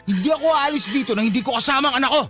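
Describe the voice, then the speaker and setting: A man playing a belligerent husband in a Filipino radio drama, aggressive and demanding as he shouts and denies. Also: very high voice, rapid-fire, faint music behind. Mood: defensive anger. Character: belligerent, denying husband demanding his baby.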